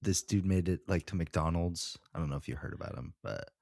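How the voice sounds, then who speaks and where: A man's voice: sits low, brisk, creaky, a little mellow, dry recording. A man co-hosting a podcast in casual, slangy, informal chat. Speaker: podcast co-host.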